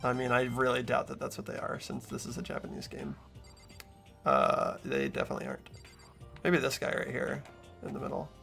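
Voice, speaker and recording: A man hosting a game playthrough, switching between casual commentary and expressive reading of the narration. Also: medium pitch, fairly quick, gravelly, faint music behind.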